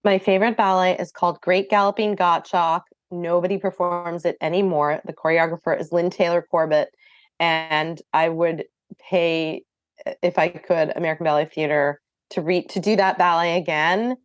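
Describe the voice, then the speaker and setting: A middle-aged woman with a lowish voice speaks earnestly and thoughtfully as a podcast guest. The sound is close and dry, with no room around her. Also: fairly even intonation, normal speed, no echo, dull audio. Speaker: guest author. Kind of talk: podcast interview.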